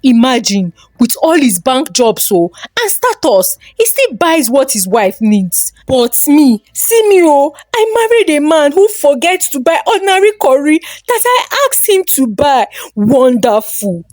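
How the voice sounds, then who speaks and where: A woman's voice: sits high, big pitch swings, measured pace, hardly any pauses, a little mellow, no room sound, voice pushed up. A woman voicing a scornful, nagging wife in a folktale, with a Nigerian accent and a sarcastic, scolding way of talking. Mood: sarcastic, exasperated.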